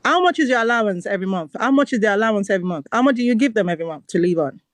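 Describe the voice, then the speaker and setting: A woman's voice: medium pitch, lively intonation, racing, no echo. A woman from Nigeria, combative on a live stream call, cutting in and repeating herself. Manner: combative, interrupts, repeats "hold on". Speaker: guest caller on a live stream. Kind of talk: heated confrontation.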